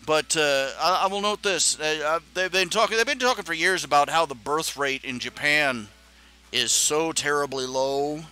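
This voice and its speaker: A man's voice: fairly high pitch, normal speed, sharp tone, clean tone. A man hosting a live stream, talking in a casual rambling monologue with many stammering restarts and chuckling asides.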